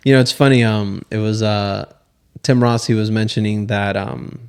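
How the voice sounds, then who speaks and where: A man's voice: on the low side, fairly even intonation, moderate pace, a little mellow, smooth. A man co-hosting a casual faith podcast, talking in a preachy, exhorting yet conversational way. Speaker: podcast co-host.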